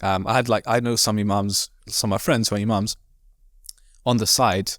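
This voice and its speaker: A man from Devon, an imam, talking casually as a podcast guest in quick, rambling sentences full of fillers and restarts. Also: lowish voice, steady tone, a bit bright, no room sound, clean studio sound. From Devon, England. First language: not Arabic. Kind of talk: casual conversational interview.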